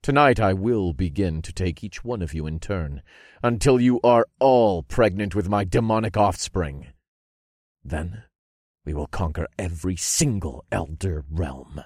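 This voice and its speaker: A man voicing a triumphant dark lord in an audiobook, speaking in grandiose, commanding declarations. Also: sits low, a little slow, dry recording, clean studio sound.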